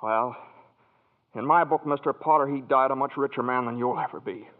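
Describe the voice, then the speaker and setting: A man's voice: medium pitch, moderate pace, close to an everyday voice. An actor playing an earnest, restless young small-town man with big dreams, talkative and stammering in a folksy 1940s radio drama style. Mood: indignant, passionate. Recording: tinny, narrow vintage radio recording.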